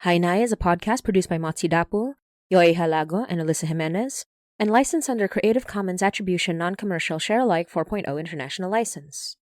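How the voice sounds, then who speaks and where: Dry-sounding, lower-pitched woman reading credits. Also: quick pace, darkish tone, no room sound. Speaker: podcast creator and showrunner reading credits. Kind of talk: scripted credits announcement.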